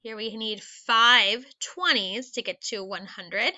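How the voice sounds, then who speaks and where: A woman's voice: on the high side, slow, sharp tone, muffled recording. A woman teacher reading aloud a lesson for young children in an instructional manner, explaining things step by step. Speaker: elementary school teacher.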